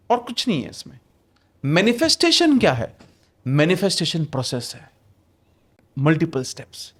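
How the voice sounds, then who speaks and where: Animated man explaining with lively pitch. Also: slightly rough. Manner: emphatic, rhetorical questions, mixes in English phrases. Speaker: podcast guest, manifestation author. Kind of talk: explanatory conversational talk.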